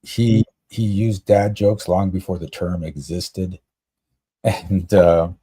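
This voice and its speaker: A man's voice: deep voice, steady tone, slow pace, dark tone, husky. A man from Canada telling personal stories casually as a podcast guest. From Canada, Toronto area. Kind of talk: casual chat, personal storytelling.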